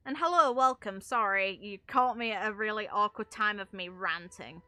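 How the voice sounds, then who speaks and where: A woman's voice: medium pitch, normal speed, sharp tone, dry recording, soft background music. A woman streaming a game in casual, rambling commentary, talking in a blunt, exasperated, sweary way. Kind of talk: casual ranting gaming commentary.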